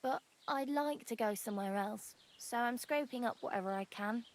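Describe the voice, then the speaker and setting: High-voiced plucky young wanderer, acted by a woman. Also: normal speed, lightly acted. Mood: confiding. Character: friendly, plucky young wandering beggar girl in medieval Bohemia. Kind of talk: video game dialogue acting.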